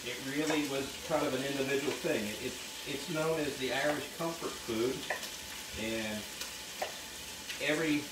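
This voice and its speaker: A man talks a viewer through a rustic dish in a roomy, echoey kitchen, heard from a few steps away from the mic. Frying and sizzling carry clearly behind his relaxed, chatty explanations. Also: medium pitch, moderate pace. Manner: chatty, explains while he works. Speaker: cooking show host. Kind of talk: casual cooking demonstration. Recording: roomy kitchen sound, mic at a distance, clear background noise.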